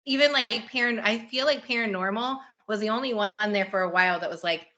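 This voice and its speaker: A woman's voice: mid-range voice, fast, bright, forceful tone, dull audio. A woman hosting a podcast, talking about books in an informal, enthusiastic, conversational way. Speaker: podcast host. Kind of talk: casual book chat.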